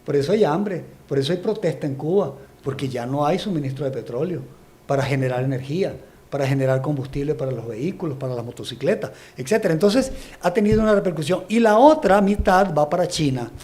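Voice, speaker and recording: Brief: man from Venezuela, interview guest, emphatic and explanatory, a touch high in pitch. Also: expressive, quick pace. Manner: forceful, explanatory, builds lists of accusations. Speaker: guest analyst. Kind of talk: emphatic political commentary.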